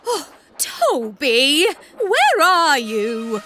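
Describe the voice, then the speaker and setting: A kindly, fretful cartoon train lady. The delivery is high, slow and very animated, with music underneath. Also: a little hiss or hum, exaggerated voice. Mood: distraught. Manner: dramatic, expressive. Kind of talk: children's cartoon acting.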